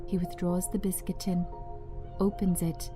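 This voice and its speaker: A woman's voice: lowish voice, level tone, a little slow, over music. A woman reading screenplay action as a scripted narration.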